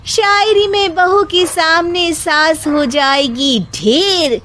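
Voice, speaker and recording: A spirited young housewife from a comic moral story, acted by a woman. She speaks emphatically in a high, slow voice, with a cheeky edge. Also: a bit bright, heavily acted. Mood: cheeky, triumphant. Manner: emphatic, recites mocking rhymes.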